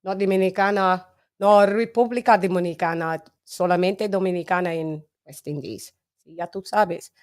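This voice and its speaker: A woman's voice: fairly low pitch, steady tone, moderate pace. A woman with an English accent hosting a casual live chat, laughing often and switching between English and Spanish. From England. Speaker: livestream host.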